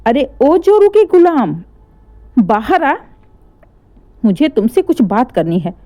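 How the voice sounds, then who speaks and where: A woman's voice: on the high side, big pitch swings, a little slow. Woman voicing a furious scolding mother. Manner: shouting, scolding. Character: angry middle-aged mother defending her daughters.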